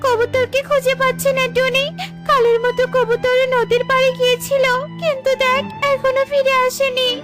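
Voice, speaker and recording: A woman's voice: very high voice, little pitch movement, normal speed. A woman voicing a small, anxious, caring female bird in a children's cartoon, earnest and pleading. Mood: worried. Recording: music playing behind the voice.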